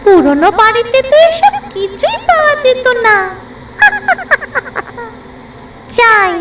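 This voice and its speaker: A woman voicing a greedy, gleeful female tree ghost in a cartoon, with drawn-out, gloating delivery. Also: very high voice, big pitch swings, far from the mic, very noisy, full character voice. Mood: greedy delight. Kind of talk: cartoon voice acting. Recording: loud music behind the voice.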